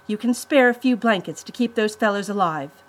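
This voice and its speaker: A woman's voice: medium pitch, moderate pace, pitched above the performer's own voice, lightly acted. Woman as a bold, earnest young girl. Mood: firm, anxious. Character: bold, quick-thinking young girl, protective eldest sister.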